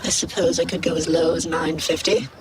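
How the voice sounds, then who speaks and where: A woman's voice: deep voice, level tone, fairly quick, very noisy, natural voice. A woman voicing an indignant squid-like alien merchant who talks in a prim, formal, businesslike way in an audio story. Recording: loud crowd bustle behind. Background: busy market crowd.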